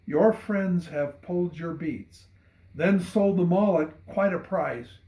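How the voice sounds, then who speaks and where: A man's voice: high-pitched, takes it slowly, warm tone, voice pushed up. A man voicing a cheerful, kindly neighbor farmer with a hearty, emphatic manner in a poem reading. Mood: kindly, reassuring. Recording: roomy echo.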